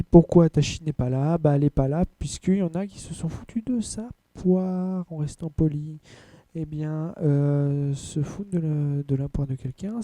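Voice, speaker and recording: A man's voice: a little high, dark tone, no echo. A man hosting an anime and manga podcast in a casual, blunt way, rambling through news with lots of filler words. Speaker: podcast host. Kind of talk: casual news rundown and rant.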